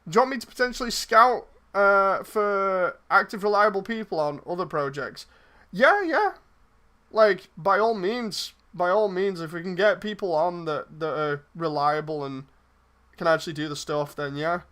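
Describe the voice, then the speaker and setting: Bright-voiced man, rambling casual stream chat. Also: high-pitched, moderate pace. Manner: rambling, hesitant, trailing off mid-thought. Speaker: streamer and project lead casting voice roles. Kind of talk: casual livestream chat, replying to messages.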